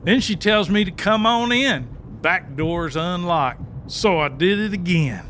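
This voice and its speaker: A high, bright male voice, slow-paced, for a cocky country sidekick in an audiobook drama. He is folksy, crude and blustering. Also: expressive, slight background noise. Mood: boastful.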